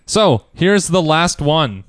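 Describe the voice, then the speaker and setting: A man's voice: on the high side, very animated, takes it slowly, a bit bright, no room sound, studio quality. A man casually quizzing a friend on comic character names, playful and laughing along. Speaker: quiz host. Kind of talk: casual chat.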